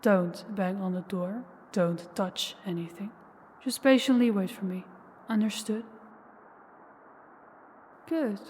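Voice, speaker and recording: A woman's voice: medium pitch, darkish tone, big-room sound, a little hiss or hum, natural voice. A woman playing a menacing, possessive ancient female vampire in an intimate audio roleplay, taunting and commanding, sometimes dropping toward a whisper. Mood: dismissive, commanding. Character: possessive, menacing older female vampire, toying with captive huntress.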